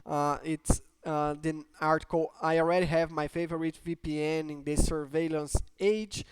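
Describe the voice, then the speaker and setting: Brazilian-accented man, tech host, smooth clear voice, dry close sound, halting with fillers. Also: a little high, dry recording. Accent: Brazilian Portuguese. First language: Portuguese. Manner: hesitant, many fillers and restarts. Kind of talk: casual explainer talk.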